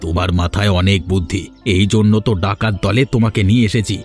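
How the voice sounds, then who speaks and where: A man acts out a brutal, boastful bandit chief from a cartoon. He blusters very quickly in a lowish voice, with music faintly behind him. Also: steady tone, darkish tone, natural voice. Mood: blustering. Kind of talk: cartoon voice acting.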